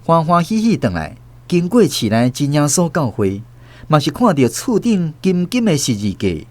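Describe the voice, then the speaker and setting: A man's voice: on the high side, big pitch swings, moderate pace, darkish tone, dry recording. A man with a Taiwanese accent narrating a radio drama in a dramatized storytelling style.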